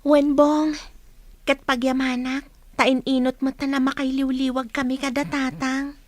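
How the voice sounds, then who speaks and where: A woman's voice: high voice, flat delivery, measured pace, no room sound. A woman playing a dutiful, caring schoolgirl, the eldest daughter, in a radio drama. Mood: earnest. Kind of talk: Ilocano radio drama acting.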